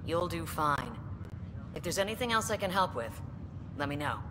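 An actress voicing a composed female ship captain in a video game, speaking in a brisk, matter-of-fact way. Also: sits low, very animated, big-room sound, noisy background.

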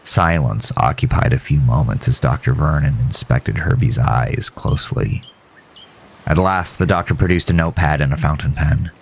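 A man's voice: rumbling low, moderate pace, creaky, slight background noise. A man reading scripted horror fiction as the narrator.

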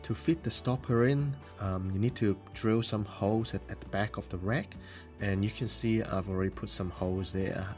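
Low-voiced man explaining a hobby build. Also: steady tone, moderate pace, music in the background. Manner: plain, step-by-step explaining with frequent ums. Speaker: host of a model-building show. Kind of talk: hobby tutorial voice-over.

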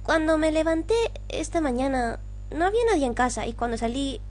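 A woman's voice: high voice, fairly quick, a little mellow, lightly acted. A woman voicing a scared young boy from a video game, earnest and anxious. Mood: frightened.

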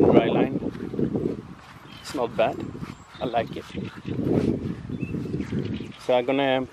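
Man in his forties, Swedish native speaker, slow unhurried talk, hesitant fillers, loud outdoor noise behind. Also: medium pitch. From Sweden. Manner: halting, many "uh" fillers. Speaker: fishing vlogger. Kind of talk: casual vlog to camera. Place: outdoors on a grassy field by woods, later in a car.

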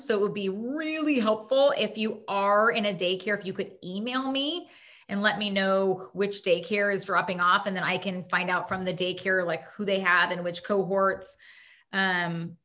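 A woman's voice: mid-range voice, fairly bright tone. A woman school principal answering parents' questions at a video-call town hall, informal and thinking aloud.

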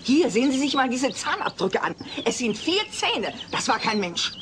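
An actress playing an excitable, frightened and insistent neighbour woman in a sitcom audio drama. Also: a little high, moderate pace. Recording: faintly noisy old cassette.

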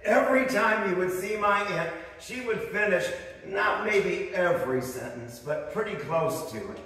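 A middle-aged pastor from the northern United States delivers a fervent sermon in a fairly high voice, building emphasis and slipping into stories. He sounds distant from the mic, and his words ring through a long, echoing church hall. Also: expressive, unhurried, pushed and bright. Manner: fervent, rising emphasis with storytelling asides. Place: church sanctuary, indoors. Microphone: far camera, speaking at a lectern. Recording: echoey hall recorded from far off.

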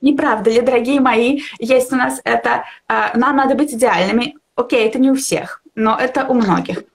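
A woman's voice: a little high, normal speed, vocal fry. A young woman chatting casually as a language teacher in a live discussion, encouraging and reflective, often searching for words. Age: young adult. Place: indoor room at home, video call.

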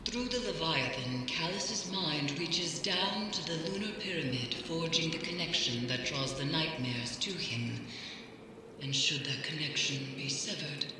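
An actress playing a solemn, brooding mystic woman in a video game, speaking in a grave, deliberate, cryptic way. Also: sits low, animated. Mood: solemn, foreboding. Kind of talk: dramatic video game voice acting. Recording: cavernous reverb with noisy game ambience.

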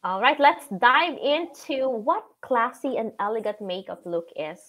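A woman in her thirties with a Filipino accent and a raspy voice, hosting a live video chat and going over makeup brushes. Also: on the high side, big pitch swings, unhurried, fairly bright tone. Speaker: host of a live show. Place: home room with shelves.

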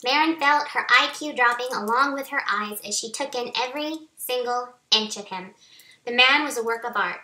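A woman's voice: a little high, normal speed, pushed and bright. A middle-aged woman reading romance fiction aloud, her voice altered by helium, on a webcam in a home office. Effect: voice raised by helium. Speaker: author reading own novel. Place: small home office, indoors. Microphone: webcam at arm's length. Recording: roomy echo.

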